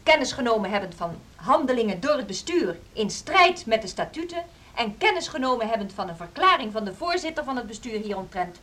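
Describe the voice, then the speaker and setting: An actress playing an unyielding teacher in a radio drama, speaking formally, insistently and combatively. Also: female voice, fairly high pitch, a bit bright. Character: militant, unyielding woman teacher pushing her case. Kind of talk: Dutch radio drama acting.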